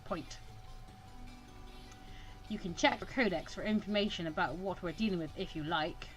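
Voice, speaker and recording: A woman's voice: fairly low pitch, moderate pace, soft background music, lightly acted. A woman voicing a stern older male mentor in a visual novel, dry and instructive.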